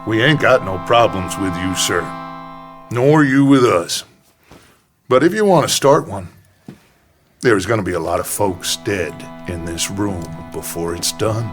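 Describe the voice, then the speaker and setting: Slow, grandiose American outlaw leader. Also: male voice, fairly low pitch, animated, music in the background. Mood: quietly threatening. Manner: measured, silver-tongued, oratorical. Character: charismatic, grandiose outlaw gang leader, middle-aged American man. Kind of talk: dramatic western video game acting.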